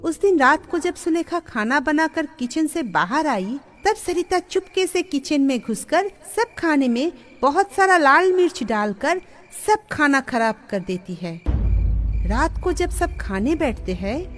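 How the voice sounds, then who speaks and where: High-pitched, animated woman storyteller. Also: measured pace. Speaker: narrator. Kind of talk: scripted moral-story narration. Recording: music under the voice.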